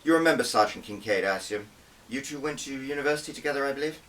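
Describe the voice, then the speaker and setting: A man's voice: mid-range voice, animated, racing, a bit bright, distant mic, pitched above the performer's own voice, lightly acted. A stern older woman in charge of spies, as voiced by a male audiobook reader, dry and commanding, with weary impatience. The voice is lifted, set a little back from the microphone with a faint hiss. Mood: dry, brisk. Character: stern, weary older female intelligence boss. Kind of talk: audiobook character dialogue.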